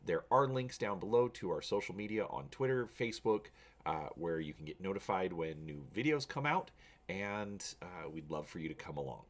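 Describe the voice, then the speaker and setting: A man's voice: sits low, lively intonation, normal speed. A man hosting a channel, talking casually to viewers and reading an adventure story aloud with drama.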